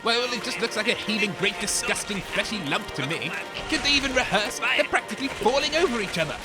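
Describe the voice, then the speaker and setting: A man's voice: high voice, expressive, fairly quick, over loud music, rough recording. A British man playing a grumpy, cynical mutant radio co-host and pretentious poet, sarcastic and sweary, in comedy commentary. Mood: grumbling, scornful. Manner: grumbling, sarcastic, scornful, sweary. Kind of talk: comedy audio drama, mock live event commentary.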